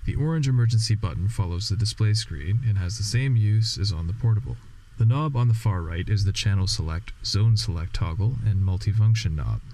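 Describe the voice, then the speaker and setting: A man's voice: on the low side, a little fast, mellow. A man narrating scripted training instructions in a methodical, matter-of-fact way.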